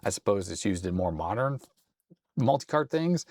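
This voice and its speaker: Slightly hoarse man thinking aloud. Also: on the low side, very animated, moderate pace, darkish tone, no echo. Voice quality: raspy. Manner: thinking aloud, tentative and self-deprecating. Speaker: host. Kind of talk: casual hands-on tech walkthrough.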